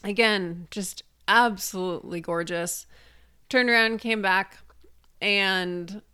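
A woman shares stories as a podcast co-host. Her voice is smooth and clear at an average pitch. She talks casually and earnestly, leaning on key words for emphasis. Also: slow, bright, forceful tone. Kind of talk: casual conversational storytelling.

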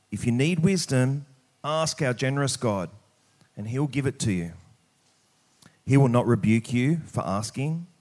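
A middle-aged man with an Australian accent speaks as a preacher. His pitch sits in the ordinary male range. He talks plainly and informally, as if chatting with people he knows. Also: a little mellow. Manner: conversational, informal, plain-spoken. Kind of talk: church sermon with prayer, scripture reading and casual anecdotes.

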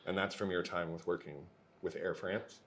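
Low-voiced male interview host. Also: flat delivery, a bit bright. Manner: friendly, quick follow-up questions. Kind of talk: casual interview chat.

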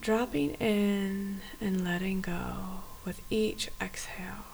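A woman leads a guided meditation at a slow pace, leaving gentle pauses between phrases. Her voice is soft and often close to a whisper, with a creaky, fried edge. A faint hiss runs under the recording. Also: medium pitch, fairly even intonation. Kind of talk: guided body scan meditation.

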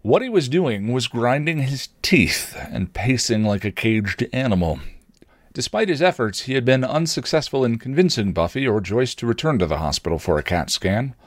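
A man reading fan fiction aloud as expressive story narration. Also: medium pitch, normal speed, no echo, studio quality. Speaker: fan fiction reader on a podcast.

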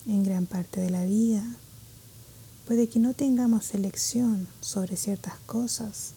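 A woman guiding a meditation in a calm, gentle, measured way, with a soft voice that turns breathy at phrase ends. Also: mid-range voice, low-quality recording. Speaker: meditation guide. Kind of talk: guided meditation narration.

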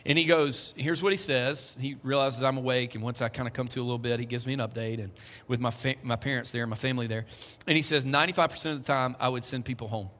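Bright-voiced pastor in an echoey hall. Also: male voice, medium pitch, steady tone, quick pace. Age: 40s. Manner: conversational, joking asides to the congregation. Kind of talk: sermon. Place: church hall.

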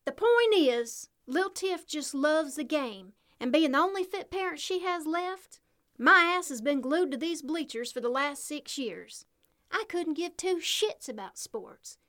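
A woman's voice: sits high, fairly bright tone. A woman playing a brash, foul-mouthed single mom with a Southern US accent, sassy and exasperated, in an audio drama. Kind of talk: audio drama acting with narration.

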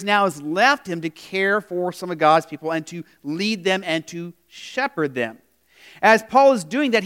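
A man with a high voice preaches a sermon to his congregation. He talks in a loose, conversational way, restarting phrases and throwing questions out to the listeners. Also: a bit bright, smooth. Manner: conversational preaching with frequent "you know" and restarts. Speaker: pastor.

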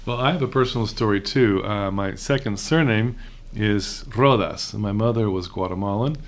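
Gravelly-voiced man hosting a chat. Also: fairly low pitch, normal speed. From raised in Houston. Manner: relaxed, chatty with hesitations. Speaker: host, seminary professor. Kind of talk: casual conversation.

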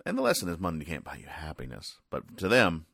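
A man with a deep voice and a bright, forward edge hosts a casual recap show. He rambles and halts in a deadpan way. The sound is close and dry, with hardly any room around it. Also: fast talker, vocal fry, husky, no echo. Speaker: podcast host. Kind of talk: casual comedic recap of newspaper comics.

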